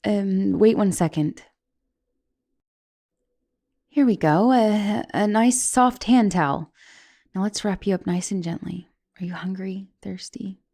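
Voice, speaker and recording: A young woman playing a guilty, caring giantess college student in an intimate ASMR roleplay, hesitant and stammering, with soft turns toward whispering. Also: mid-range voice, measured pace. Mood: concerned, gentle. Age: young adult. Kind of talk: ASMR roleplay, intimate one-sided dialogue.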